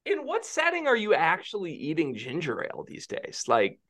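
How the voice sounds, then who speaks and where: Animated, teasing podcast co-host man. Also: a little high, normal speed. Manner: animated, teasing, laughs often. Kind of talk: casual sports podcast banter.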